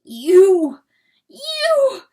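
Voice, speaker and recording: A woman's voice: mid-range voice, animated, slow, pushed and bright, distant mic. A woman voicing a proud, anguished rooster from an animal fable, crying out and growling in rage and torment. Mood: frantic, tormented. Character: proud, anguished rooster raging at his enemy.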